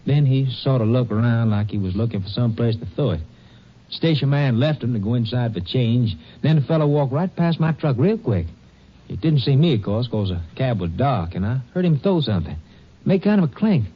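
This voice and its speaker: Man playing a trucker, rambling folksy drawl, mid-pitched, muffled old radio sound. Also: animated, moderate pace, lightly acted. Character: drawling male long-haul trucker witness. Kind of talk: 1950s radio drama acting. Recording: muffled vintage radio broadcast.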